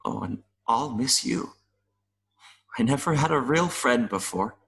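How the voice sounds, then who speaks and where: Audio drama: male actor as a wistful, kindly mayfly, earnest and philosophical, unhurried delivery, slight stammer. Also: mid-range voice, expressive, vocal fry, fairly bright tone, a little hiss or hum. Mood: tender regret. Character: gentle, wistful mayfly longing to see the world. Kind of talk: audio play acting.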